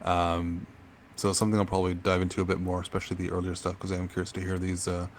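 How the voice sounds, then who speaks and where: A middle-aged man co-hosting a casual music podcast, talking in a thoughtful, measured way with frequent pauses and ums. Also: deep voice, monotone, a little hiss or hum. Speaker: podcast co-host.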